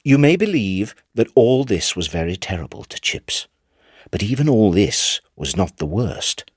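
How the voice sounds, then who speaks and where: A man narrating a literary tale in an expressive, theatrical storytelling manner. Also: lowish voice, measured pace, husky, no room sound, clean studio sound. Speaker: narrator, audiobook reader. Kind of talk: dramatic scripted literary narration.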